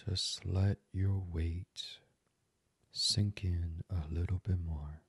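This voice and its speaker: A man with a deep, breathy voice leads a bedtime relaxation in ASMR style. He speaks very slowly and softly, often dropping almost to a whisper. The sound is close and dry, with no room around it. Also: steady tone, dry recording. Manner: hushed, soothing delivery slipping into near-whisper. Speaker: meditation podcast host. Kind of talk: guided sleep meditation, ASMR.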